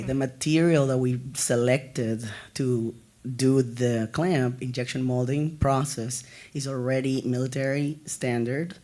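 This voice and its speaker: A man with a cold, his voice fading, answers a panel's questions on a handheld mic in a large conference room. He hesitates and apologizes, steady in pitch, middle-aged. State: has a cold, losing his voice. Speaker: presenter.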